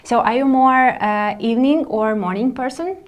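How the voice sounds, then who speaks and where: Higher-pitched woman hosting a webinar. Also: normal speed. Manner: friendly, asks short questions with fillers. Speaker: webinar co-host.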